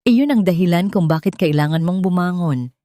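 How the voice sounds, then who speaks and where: A woman's voice: lowish voice, normal speed, warm tone, no echo, close to an everyday voice. A stern military man, acted out by a woman narrator in an audiobook drama. He is an exacting flight mentor who speaks with firm emphasis. The recording is dry and close.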